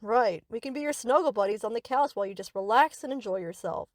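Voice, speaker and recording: A woman's voice: on the high side, animated, fast, no echo, clean studio sound, natural voice. A woman playing a sweet, reassuring but possessive young yandere best friend in an anime-style audio roleplay, coaxing and doting.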